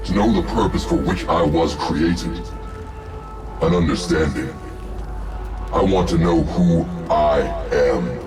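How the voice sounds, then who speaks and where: A solemn game AI that wants answers, real deep voice, kinda far away, music going. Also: male voice. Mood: cold, searching. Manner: slow, solemn, measured. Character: self-aware artificial intelligence demanding answers about itself. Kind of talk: dramatic video game acting. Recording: distant voice under clear music.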